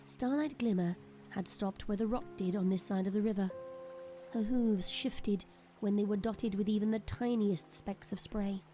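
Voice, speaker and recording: A woman's voice: medium pitch, steady tone, moderate pace, warm tone. A woman reading scripted narration for an audio drama. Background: faint background music.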